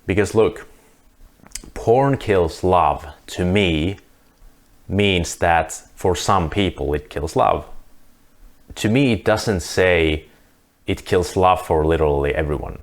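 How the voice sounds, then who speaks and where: A man's voice: low voice, slow, long pauses. A Finnish man speaking English as a second language, earnestly talking through his views to camera with frequent restarts. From Finland. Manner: earnest, thinking aloud with restarts. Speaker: vlogger. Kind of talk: casual monologue to camera.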